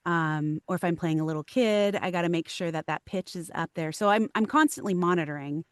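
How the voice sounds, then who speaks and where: A woman's voice: fairly low pitch, a little fast, clean tone, no room sound, clean studio sound. A woman voiceover actress, a podcast guest, talking through her craft in casual interview chat, thoughtful, with many ums.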